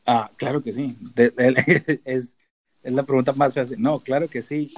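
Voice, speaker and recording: A man's voice: medium pitch, fairly even intonation, measured pace. A man from Tijuana with a northern Mexican accent, talking casually as an interview guest and explaining things informally, with some English terms mixed in. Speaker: podcast guest, data analyst and researcher.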